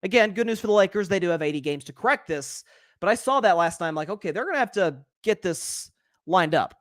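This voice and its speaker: A young man hosting a solo sports talk show, talking quickly and animatedly, often repeating words as he builds a point and reading out viewer comments. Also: high-pitched, lively intonation, a bit bright, no echo, clean studio sound.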